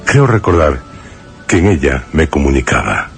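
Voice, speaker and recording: An actor playing a grave male narrator in a suspense radio drama, with a measured, literary and solemn delivery. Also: a bass voice, sing-song, a bit bright, faint music behind, exaggerated voice. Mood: measured, reflective. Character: grave male narrator recalling a troubled friend in suspense tale.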